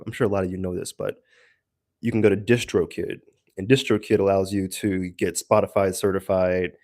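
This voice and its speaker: A man giving a casual, rambling audio log as a solo creator, haltingly searching for words with self-deprecating asides. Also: lowish voice, mellow, dry recording, studio quality.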